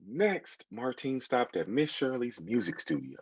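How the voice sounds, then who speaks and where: An American man in his thirties reading a children's book aloud, expressively, pausing to put questions to his young listeners. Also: medium pitch, breathy edge, dry recording, lo-fi sound. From United States. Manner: expressive, engaging listeners with asides. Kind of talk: animated storytelling to children, with questions.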